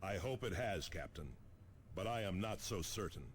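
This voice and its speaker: Guy doing stern wary space marine commander, game dialogue, really deep, faint noise. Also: moderate pace, close to an everyday voice. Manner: stern, terse. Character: stern male force commander, battle-hardened, wary.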